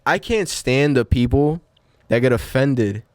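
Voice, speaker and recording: A man's voice: medium pitch, lively intonation, measured pace, dry recording, clean studio sound. A young man on a podcast, chatting casually, rambling with lots of "like" and "you know". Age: young adult. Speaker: podcast host. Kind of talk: casual chat.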